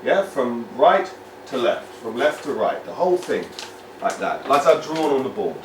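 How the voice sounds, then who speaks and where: A British man from the United Kingdom, an English teacher giving a lively lesson to children, repeating words and asking the viewer questions. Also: medium pitch, moderate pace, slight background noise. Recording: echoey room, mic at a distance.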